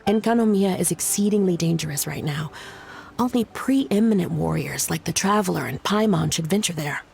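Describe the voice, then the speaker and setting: A woman's voice: on the low side, moderate pace, vocal fry, darkish tone, a little hiss or hum. An actress playing a dutiful, frank female military officer in a video game, speaking formally and matter-of-factly to a superior. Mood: earnest, deferential. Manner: formal, matter-of-fact briefing. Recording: music under the voice. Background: music.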